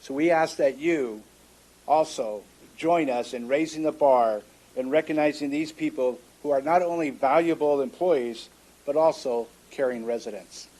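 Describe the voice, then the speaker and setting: A man's voice: on the high side, normal speed, a little mellow, low-quality recording. A man reading a prepared statement for his union during public comment at a city council meeting.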